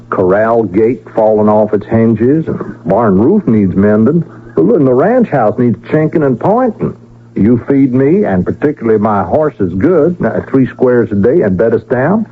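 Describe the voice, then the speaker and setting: An actor playing a cheeky traveling peddler in a radio western, with a folksy, teasing American drawl. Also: male voice, mid-range voice, breathy edge. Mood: bargaining. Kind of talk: 1970s radio western acting. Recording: noisy, tinny old radio broadcast.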